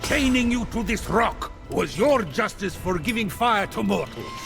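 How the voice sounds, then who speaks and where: A man playing an imperious, vain, quick-tempered king of the gods in a video game, with a pompous, blustering theatrical manner. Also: high-pitched, expressive, gravelly, full character voice. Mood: indignant, blustering. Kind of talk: theatrical comic game acting. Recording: loud music under voice. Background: game score.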